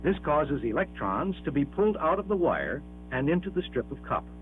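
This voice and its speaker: A man's voice: on the high side, big pitch swings, soft background music. Man narrating a dull-sounding 1960s classroom film. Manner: measured, explanatory, emphatic, with rhetorical questions.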